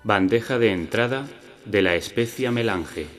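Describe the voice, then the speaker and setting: A man performing scripted radio drama in the formal, deliberate, detached manner of an impassive announcer. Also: lowish voice, natural voice. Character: impassive male computer system and storyteller. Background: loud music.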